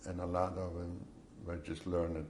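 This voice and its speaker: A man in his seventies from Poland, giving slow, measured answers in an oral history interview. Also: sits low, flat delivery, a little mellow, a little hiss or hum. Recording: echoey room.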